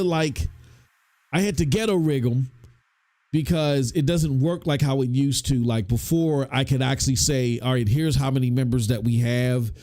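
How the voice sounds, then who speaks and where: A man's voice: mid-range voice, dark tone. A man hosting a casual gaming podcast, chatty and loose, his voice stuffy from a cold. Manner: loose and chatty, many restarts and fillers. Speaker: podcast host. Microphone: hot studio microphone.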